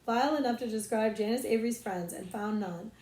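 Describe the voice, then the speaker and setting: A woman reading a children's novel aloud as expressive storybook narration. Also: fairly high pitch, a little fast, echoey room, low-quality recording. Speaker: audiobook reader, librarian.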